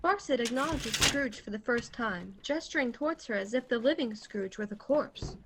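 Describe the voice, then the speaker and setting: A woman reads scripted narration for a radio play. Her voice is on the high side, and she sets out each scene as the narrator, recorded at home over a call. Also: expressive, normal speed, background noise. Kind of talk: scripted narration of stage directions.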